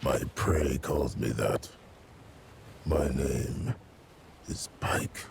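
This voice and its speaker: An actor playing a vengeful, haunted undead killer in a video game, ominous and intense, gravelly and breaking into whispers. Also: male voice, rumbling low, very slow, slight background noise, full character voice. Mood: menacing. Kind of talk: video game cutscene acting.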